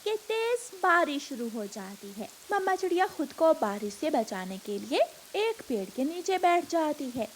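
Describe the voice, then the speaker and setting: A woman's voice: high voice, very animated, a little slow, few pauses, a little hiss or hum. A woman reading scripted narration for a children's cartoon story.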